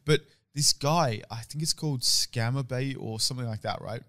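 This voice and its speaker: A man's voice: mid-range voice, normal speed, pushed and bright. A young man with an Australian accent co-hosting a podcast, talking in casual, slangy, profane banter. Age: young adult. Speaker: podcast co-host.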